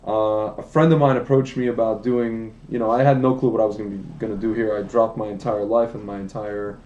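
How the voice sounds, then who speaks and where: A man's voice: lowish voice, little pitch movement, a little fast, mellow, roomy sound, highs cut off, a little hiss or hum. A man in his late thirties, telling his life story in a casual, rambling podcast chat, with many restarts and asides. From United States. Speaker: wine business founder, podcast guest. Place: indoor room, livestream podcast.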